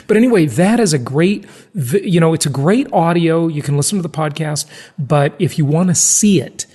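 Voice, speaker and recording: A man hosting a podcast in a casual, chatty and self-deprecating way, with a raspy voice. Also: a little high, dark tone, long reverb tail. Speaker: podcast host.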